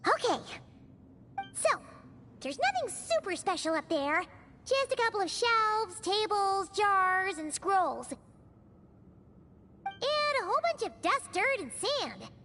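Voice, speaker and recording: A woman voicing a tiny, bubbly fairy-like girl companion in a video game, who refers to herself in the third person in a cheeky, excitable way. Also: very high voice, takes it slowly, pauses often, a little hiss or hum, full character voice. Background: game soundtrack music.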